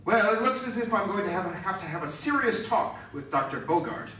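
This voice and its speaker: A man's voice: high-pitched, animated, fairly quick, sharp tone. A man acting as a pompous, demanding, indignant scientist in a radio drama, stammering at times. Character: blustering, indignant male robot scientist, authoritative boss. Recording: echoey, distant, faint noise.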